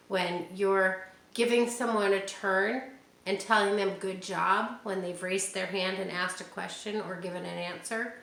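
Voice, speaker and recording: A woman reading a picture book aloud to children, stopping often for gentle, chatty asides. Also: medium pitch, gravelly. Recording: echoey room with faint hiss.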